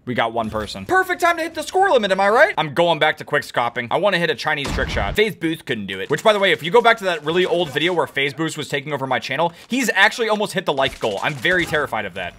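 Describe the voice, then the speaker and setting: A young man giving excited gameplay commentary from his home setup on a headset, his voice cracking and hoarse as he swings between mutters and shouts. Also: on the high side, fast, hardly any pauses, a little hiss or hum. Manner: hyped, exclamatory, jumps between mutters and shouts. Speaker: gaming YouTuber. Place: home gaming setup, indoors.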